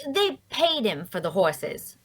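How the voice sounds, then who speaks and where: A woman's voice: medium pitch, wildly swooping pitch, takes it slowly, fairly bright tone, exaggerated voice. A woman playing a small, chatty, inquisitive young adventurer in a fantasy audio drama. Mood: inquisitive, probing.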